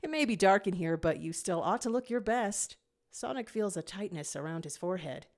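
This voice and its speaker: A woman narrating a scripted fan fiction story in an expressive reading style. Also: medium pitch, brisk.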